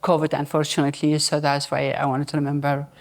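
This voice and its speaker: Low, even-toned woman with Iraqi Arabic accent. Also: quick pace. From Baghdad, Iraq. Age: middle-aged or older. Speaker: interview guest, nonprofit founder. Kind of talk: personal story told at a live event.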